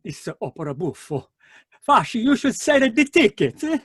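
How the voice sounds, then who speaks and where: A man's voice: very high voice, very animated, normal speed, fairly bright tone, husky, no room sound, clean studio sound, heavily acted. A man playing a hot-tempered, sarcastic Italian fisherman in an old-time radio drama, theatrical, with broken English and an Italian accent. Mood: sarcastic, disgusted.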